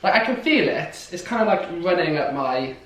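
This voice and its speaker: A man's voice: fairly high pitch, big pitch swings, moderate pace, fairly bright tone. A man chatting in a casual vlog, teasing and jokey, with a blocked ear. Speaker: vlogger. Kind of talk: casual chat. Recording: echoey room, distant mic, faint hiss.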